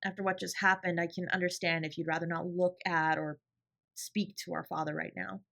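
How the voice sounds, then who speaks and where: A woman's voice: fairly low pitch, little pitch movement, fairly quick, vocal fry, a bit bright. A woman playing a fiercely protective older sibling confronting a parent in an audio drama, scolding and emphatic with a sarcastic edge. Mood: soft, reassuring. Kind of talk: dramatic audio roleplay acting.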